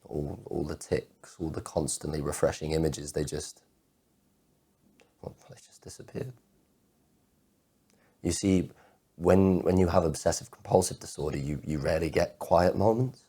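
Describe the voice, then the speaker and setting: A heartbroken, anxious man played by a male actor delivers a confessional monologue in a very deep, dark voice. He stammers over repeated words as his obsessive thoughts intrude, and his tone is husky and rough. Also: exaggerated voice. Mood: wistful, hushed. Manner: halting, stammering repetition of words. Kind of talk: dramatic monologue performance of spoken-word poetry.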